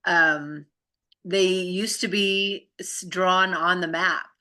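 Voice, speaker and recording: Bright, lowish-voiced middle-aged woman explaining. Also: fairly even intonation, slow pace. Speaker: co-host. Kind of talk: casual explanatory chat.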